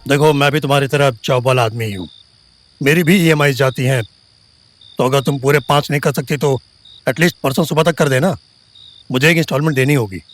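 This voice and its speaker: A man playing a smooth, pushy, self-important doctor in an audio drama, with an Indian accent. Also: mid-range voice, fairly quick, lightly acted. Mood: negotiating. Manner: persuasive, self-important, mixes Hindi with English. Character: smooth, pushy male doctor, outwardly kind.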